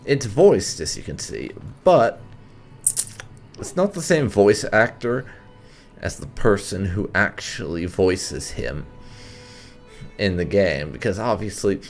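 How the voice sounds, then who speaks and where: A man with a low, gravelly voice gives casual gameplay commentary. He is wry and explanatory and swears freely, with faint music playing behind him. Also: lively intonation, slow, long pauses. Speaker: gaming video host. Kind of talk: casual let's-play commentary with roleplay asides.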